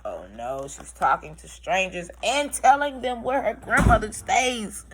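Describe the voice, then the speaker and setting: Slow, animated woman reading a storybook. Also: medium pitch, breathy edge, rough recording. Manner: explanatory, simple phrasing. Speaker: teacher reading to young children. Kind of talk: expressive storybook read-aloud with asides.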